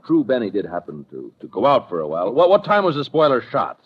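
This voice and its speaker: An actor playing a suave, evasive nightclub boss with formal manners in a 1950s radio crime drama, on a muffled vintage recording. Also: male voice, medium pitch, huge pitch swings, fast, breathy edge. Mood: nervous, evasive. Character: smooth, shifty male nightclub boss and racketeer.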